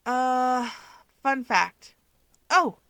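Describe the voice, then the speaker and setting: A woman voicing an excitable, proud and huffy young winged male hero in a fan fiction audiobook, emphatic and argumentative. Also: high-pitched, extremely slow, dry recording, pitched above the performer's own voice, exaggerated voice.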